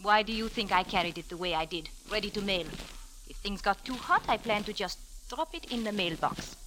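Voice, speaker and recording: An actress playing a scheming, self-assured young femme fatale in a poised, theatrical 1940s radio drama style. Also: female voice, mid-range voice, lively intonation, fairly quick, sharp tone. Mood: confident, coy. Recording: noisy, hissy vintage radio recording.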